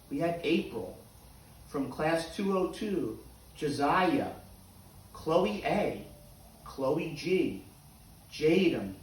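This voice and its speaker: Male primary teacher for kids' read-aloud, somewhat high-pitched, measured phrasing, echoey room. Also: recorded from a distance. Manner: deliberate, clearly spaced phrasing for children. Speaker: teacher reading aloud to students. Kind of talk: children's read-aloud and announcements to pupils.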